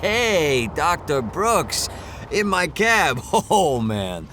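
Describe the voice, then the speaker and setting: An actor playing an excitable, starstruck young male cab driver in an audio drama, colloquial and eager. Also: a little high, very slow, full character voice. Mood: starstruck, chatty. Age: young adult. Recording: noisy car and street ambience.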